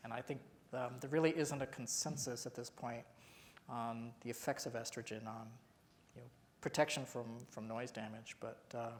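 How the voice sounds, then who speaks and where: A man panelist in an auditorium, speaking in a measured, thoughtful way about research. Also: medium pitch. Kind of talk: public panel discussion.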